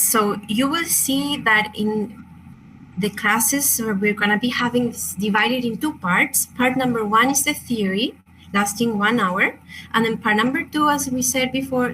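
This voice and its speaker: A woman's voice: fairly high pitch, pushed and bright, a little hiss or hum, rough recording. A woman from Chile, a native Spanish speaker with a Chilean accent, presenting an online crystal workshop in a hesitant, apologetic way with many fillers and restarts.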